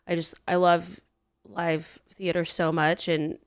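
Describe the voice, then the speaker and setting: A woman co-hosts a podcast from a studio, talking casually and gushing with enthusiasm, quick to agree with her co-host. Her voice sits a little low. The close studio mic gives a dry sound with no room echo. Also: little pitch movement. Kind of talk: casual chat.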